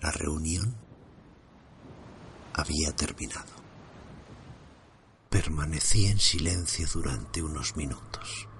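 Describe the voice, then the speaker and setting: Deep-voiced man narrating horror in hushes. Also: takes it slowly, pauses often, slight background noise. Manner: hushed, suspenseful, drops into whispers.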